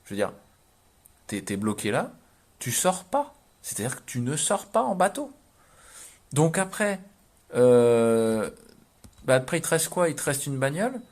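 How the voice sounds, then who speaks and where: A man's voice: mid-range voice, big pitch swings, a little slow, pauses often, darkish tone. A man in his thirties giving casual, slangy explanatory commentary, thinking aloud with hesitations and repetitions. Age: 30s. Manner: informal, slangy speech. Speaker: vlogger.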